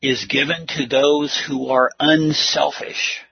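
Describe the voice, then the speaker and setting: Husky, gravelly man preaching slowly. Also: medium pitch, flat delivery, dry recording. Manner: deliberate, earnest exhortation in short phrases. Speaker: preacher leading a church lesson. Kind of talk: sermon and Bible teaching. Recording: muffled lo-fi audio.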